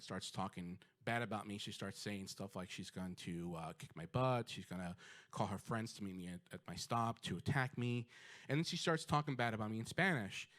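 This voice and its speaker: A man from Puerto Rico telling a personal story on a small dark stage, with many ums and restarts. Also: mid-range voice.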